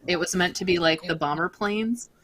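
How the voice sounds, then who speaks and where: A woman's voice: fairly low pitch, normal speed, a bit bright, poor audio. A woman chatting casually with fellow cast members, telling a story with easy laughs and many fillers. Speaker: cast member of a radio-script reading show. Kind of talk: casual chat.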